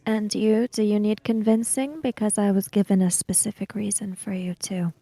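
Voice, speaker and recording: Female player as reserved samurai emissary for tabletop game, soft dark tone, dry close sound, precise delivery. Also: medium pitch, fairly even intonation, dry recording, lightly acted. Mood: reserved, measured. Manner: formal, deliberate, precise. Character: reserved, formal samurai emissary, measured and guarded. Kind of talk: in-character tabletop roleplay.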